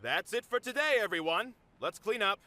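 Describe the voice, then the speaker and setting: A man's voice: high-pitched, very animated, normal speed, bright, forceful tone, faint music behind, heavily acted. A man playing an earnest, resigned theatre manager in a video game, formal and conscientious. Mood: resigned, weary.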